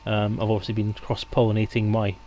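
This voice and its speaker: A man's voice: fairly low pitch, completely flat delivery, brisk, dark tone, dull audio. A man hosting a livestream in casual, chatty, friendly banter with guests. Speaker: stream host. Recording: clear background noise.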